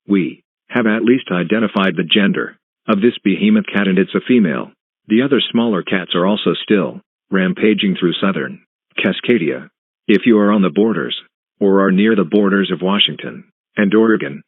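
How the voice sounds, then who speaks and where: A man reading a scripted emergency broadcast announcement in a formal, flat, instructional manner. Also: low voice. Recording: tinny phone-like band, echoing.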